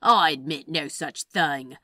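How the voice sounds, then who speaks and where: Sardonic male demon played by a woman: lowish, bright, sly casual drawl, teasing. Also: slow, no room sound, studio quality, heavily acted. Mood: smug, mock-offended. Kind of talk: fan fiction dialogue reading.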